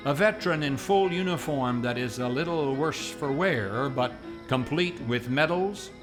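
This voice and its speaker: A man narrating a radio play as announcer, in a measured, formal old-time radio manner. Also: mid-range voice. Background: plucked guitar music.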